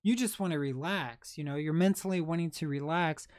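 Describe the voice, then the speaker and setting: A young man hosting a late-night livestream, chatting in a soft, calm, unhurried way. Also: a little high, no echo, clean studio sound. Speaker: livestream host.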